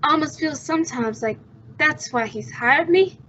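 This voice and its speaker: High-voiced, anxious young heroine played by a woman. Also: normal speed, bright, forceful tone, a little hiss or hum. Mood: worried. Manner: earnest, worried, emotional. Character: anxious, devoted young woman protecting her fugitive brother. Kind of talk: old-time radio melodrama acting. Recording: dull, muffled lo-fi sound.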